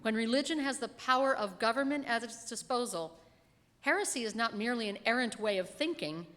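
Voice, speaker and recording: A middle-aged woman with a fairly high voice delivers a scripted sermon into a lectern microphone. Her phrasing is measured and emphatic, and the room around her leaves a long reverb on the words. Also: fairly bright tone. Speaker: lay reader delivering a written sermon. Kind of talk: sermon read aloud from a script. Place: church pulpit in a large reverberant room. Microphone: gooseneck lectern microphone. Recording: reverberant hall sound.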